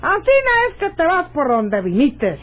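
A woman's voice: high-pitched, wildly swooping pitch, a little slow, heavily acted. An actress playing a stubborn, mocking rural woman in love, with a Mexican accent and a theatrical, scolding radionovela delivery. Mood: scolding, annoyed. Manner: scolding, scoffing, theatrical, folksy. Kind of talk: radionovela acting. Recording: dull lo-fi old radio drama recording.

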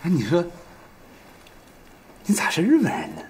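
A simple, cheerful country man, talks with big swoops of pitch. His Northeastern Chinese accent is folksy and colloquial. There is slight noise in the background. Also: huge pitch swings, normal speed. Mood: teasing, uneasy. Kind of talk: naturalistic television drama dialogue.

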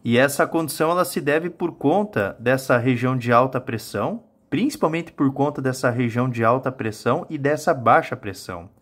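A man's voice: mid-range voice, expressive, brisk, hardly any pauses, fairly bright tone. Southern Brazilian man, informal weather explainer. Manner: colloquial, explanatory, addresses viewers with frequent check-in tags. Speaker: meteorologist, weather channel host. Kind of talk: informal weather forecast to camera. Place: small home office with monitors, indoors.

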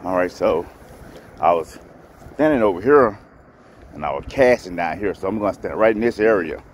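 A man's voice: sits low, expressive, normal speed. A man talking casually to viewers while fishing from a pond bank, filmed on a head-mounted camera. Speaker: fishing vlogger. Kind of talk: casual outdoor fishing commentary. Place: grassy pond bank outdoors. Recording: faint outdoor background noise.

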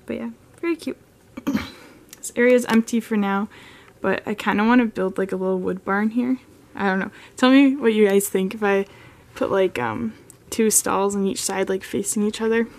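A woman's voice: mid-range voice, fairly even intonation, normal speed, vocal fry, darkish tone. Chatty woman giving a casual tour. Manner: chatty, filler-heavy, frequent "like" and "um". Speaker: model horse channel host. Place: carpeted room indoors. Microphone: handheld camera filming close.

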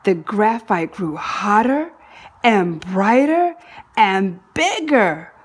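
Slow, animated woman reading a storybook. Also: medium pitch, husky. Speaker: storybook reader. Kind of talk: expressive children's read-aloud narration.

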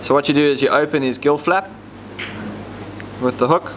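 Relaxed man demonstrating tackle. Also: fairly high pitch, normal speed, noisy background. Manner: relaxed, explains step by step with frequent ums. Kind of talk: casual how-to demonstration. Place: indoor tackle shop counter.

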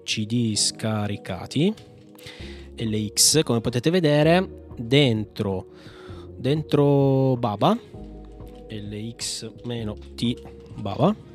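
A man giving a casual screencast tutorial, thinking aloud in a jokey, rambling, self-mocking way. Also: mid-range voice. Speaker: tech YouTuber presenting a software tutorial.

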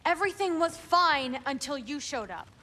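A woman's voice: sits high, expressive, normal speed, pushed and bright. A woman playing a hot-tempered, defiant young warrior in a video game, blunt and indignant. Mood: angry. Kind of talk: dramatic video game acting.

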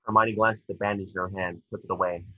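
A man's voice: sits low, steady tone, fast, dry recording. A man reading stage directions aloud as narrator at a table read, now and then stumbling over words. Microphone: video call. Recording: dull lo-fi video call audio.

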